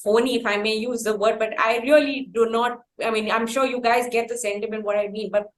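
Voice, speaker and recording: A woman's voice: fairly high pitch, fairly even intonation, a little fast. A woman with an Indian accent hosting a conversational interview, speaking in an effusive, wordy way. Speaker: interviewer, host.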